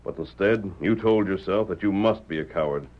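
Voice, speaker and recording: An actor playing a righteous masked frontier hero, speaking American English in a firm, measured, authoritative way in a radio western. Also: male voice, sits low, lightly acted. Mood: stern, probing. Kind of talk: old-time radio western acting. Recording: muffled vintage radio drama.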